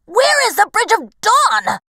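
A woman voicing a brash, eager male fox in a storybook tale, with a cocky, excitable manner. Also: extremely high pitch, unhurried, no echo, studio quality, pitched above the performer's own voice, full character voice. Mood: insistent, curious.

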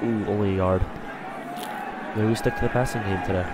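Stuffy-voiced excitable gaming commentator man. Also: brisk, very noisy. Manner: excitable, jumps up in pitch on big plays. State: stuffy from a cold. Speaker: gaming channel host. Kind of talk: let's-play game commentary.

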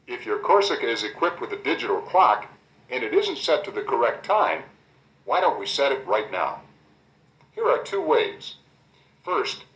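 An American man reading a scripted instructional guide, addressing the listener directly in a clear, explanatory way, on an old cassette recording. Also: medium pitch, a bit bright. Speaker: instructional narrator. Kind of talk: scripted car owner's guide narration. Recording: roomy replay of 1990 cassette tape.

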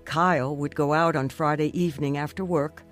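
A woman's voice: low voice, steady tone, normal speed, natural voice. A determined woman tells her own story as played by an actress in a radio drama. Her first-person narration is scripted and reflective, with faint music underneath. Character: determined woman recounting her recovery from a stroke.

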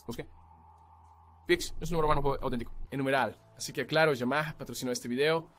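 A man's voice: on the high side, fairly bright tone. A man from Canada giving a casual, joking guitar review. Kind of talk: product review talk.

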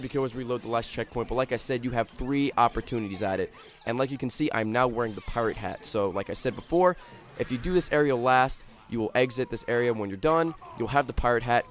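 Raspy-voiced man talking fast over gameplay. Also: mid-range voice. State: raspy, worn voice from overuse. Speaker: video game guide host. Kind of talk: casual gameplay walkthrough commentary.

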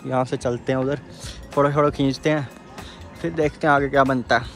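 A man's voice: medium pitch, steady tone, a little fast, a bit bright, soft background music. A man chatting informally to viewers as a travel vlogger, calling them bro. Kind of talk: casual vlog chat to camera.

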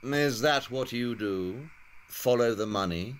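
A man playing an intense, clever detective in an audio drama, speaking with theatrical, deliberate self-assurance. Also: mid-range voice, close to an everyday voice. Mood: probing.

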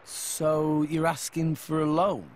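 A man's voice: on the high side, little pitch movement, takes it slowly. An actor playing a plucky, good-natured teenage boy adventurer in a game, with a British accent and a blunt, incredulous manner. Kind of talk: video game cutscene acting. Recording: loud music behind the voice.

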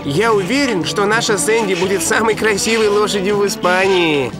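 A man's voice: sits high, normal speed, over loud music, close to an everyday voice. A man voicing an eager young dog musketeer in a cartoon, friendly and upbeat. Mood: grateful, cheerful. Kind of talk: dubbed cartoon acting.

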